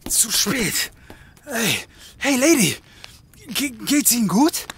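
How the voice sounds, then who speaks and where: Grumpy late-thirties private eye, sardonic, stammering mutter, unhurried, comedic audio drama, noisy background. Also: male voice, very high voice, big pitch swings, fairly bright tone, exaggerated voice. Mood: alarmed, urgent. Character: tipsy, grumpy male private detective and ex-cop, late thirties.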